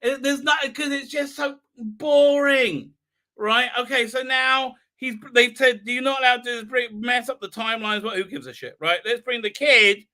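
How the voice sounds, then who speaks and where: A man hosting a fan review livestream, giving a rambling, halting, sarcastic recap with repeated words and exasperated outbursts. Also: extremely high pitch, steady tone, pushed and bright. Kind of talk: casual mocking episode recap.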